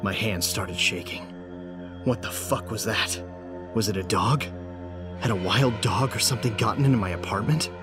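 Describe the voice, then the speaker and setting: A man's voice: on the low side, moderate pace, close to an everyday voice. An actor playing a frightened, armed man trapped at home, telling his horror story in intense, fragmented bursts. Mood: shaky, rising panic. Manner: intense, breathless self-talk with short fragmented phrases. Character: panicked man trapped at home by an unseen intruder, armed, frantic. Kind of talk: first-person horror audio drama narration. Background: music.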